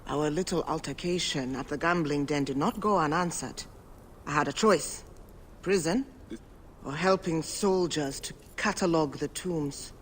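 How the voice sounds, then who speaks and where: An actor voicing a clever, nervous antiquarian and gambler who speaks in a bookish, measured way. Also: male voice, on the high side, lightly acted. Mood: hushed. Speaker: game character. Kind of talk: video game cutscene acting. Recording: game audio with faint ambient noise.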